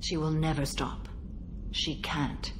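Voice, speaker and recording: An actress playing a stoic, centuries-old alien warrior woman bound by a code in a video game, speaking in a measured, formal, solemn manner. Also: low-pitched, little pitch movement, slight background noise. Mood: solemn, stern. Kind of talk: video game dialogue acting.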